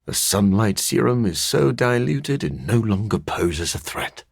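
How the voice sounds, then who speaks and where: A man voicing a brooding, dryly sardonic scientist turned vampire in a video game, speaking formally with a husky edge. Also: fairly low pitch, sing-song, a little slow, dry recording, full character voice. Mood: grave, measured. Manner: formal, learned, dry wit. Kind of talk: video game character voice acting.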